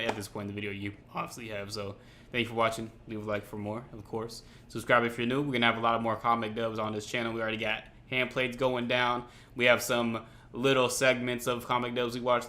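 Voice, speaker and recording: A man hosting a reaction video, chatting casually and slangily to viewers in an animated way. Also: fairly low pitch. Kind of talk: casual excitable commentary.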